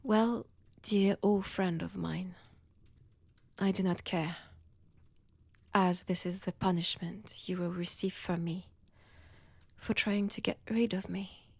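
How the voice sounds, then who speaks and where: A woman's voice: fairly low pitch, steady tone, a little mellow, no echo, exaggerated voice. A woman with a French accent voicing a seductive, menacing female vampire in an intimate ASMR roleplay, whispery and teasing, close to the microphone. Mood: taunting. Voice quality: breathy, whispery. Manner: slow, teasing, deliberate delivery. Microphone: close, dry microphone.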